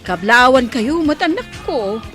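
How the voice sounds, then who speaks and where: A woman's voice: on the high side, expressive, measured pace, a bit bright, faint music behind. A woman with an Ilocano accent playing a proud, hospitable village mother at a wedding in a radio comedy drama. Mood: festive, loud.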